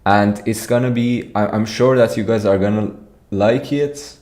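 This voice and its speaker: A man's voice: on the low side, fairly even intonation, a little slow, a little mellow. A young man with an Iranian accent, chatting casually on a livestream, often repeating words as he answers viewers. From Iran. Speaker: streamer.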